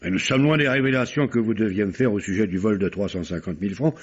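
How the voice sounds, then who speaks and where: An actor in an old radio mystery playing a stern, skeptical examining magistrate, formal and exclamatory, quick to interrupt. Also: male voice, on the low side, breathy edge. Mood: severe. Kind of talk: old French radio mystery drama acting. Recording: muffled vintage radio recording.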